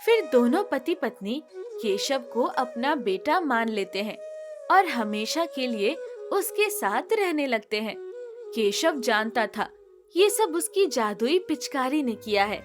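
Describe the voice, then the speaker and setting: A woman's voice: sits high, sing-song, slow pace, over music. A woman narrating a scripted moral story for children.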